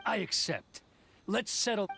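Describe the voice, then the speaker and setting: A man's voice: high-pitched, expressive, measured pace, gravelly, heavily acted. A man voicing a proud, scornful swordsman lawman in an anime game, formal and clipped. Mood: curt, proud. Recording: music under the voice.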